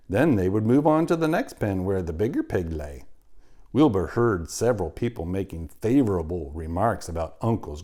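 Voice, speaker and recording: A man, a teacher, reading a children's chapter book aloud with expressive storytelling. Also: lowish voice.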